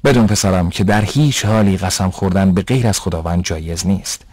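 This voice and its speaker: A man playing a patient, pious father who explains rules in a measured, didactic way in an educational cartoon. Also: sits low, a little mellow, breathy edge, dry recording. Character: patient, pious father teaching his son religious rules. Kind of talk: dubbed educational cartoon dialogue.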